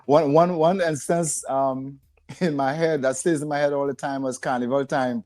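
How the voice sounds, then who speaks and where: A man's voice: medium pitch, fairly even intonation, moderate pace, a little mellow. An older man from Trinidad with a Trinidadian accent, telling stories in a casual, conversational way as an interview guest. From San Fernando, south Trinidad. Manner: chuckles often, conversational reminiscing. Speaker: podcast guest, retired rehabilitation director.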